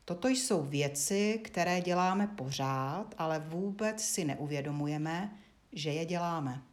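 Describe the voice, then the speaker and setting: A woman instructor guiding a body-awareness lesson, giving short spoken directions with frequent pauses. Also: fairly low pitch.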